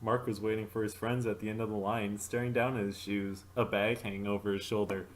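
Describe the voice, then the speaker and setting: A man's voice: on the low side, flat delivery, fairly quick. A man reading a story aloud as an amateur narrator, in a dramatic storytelling style. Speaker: fanfic reader.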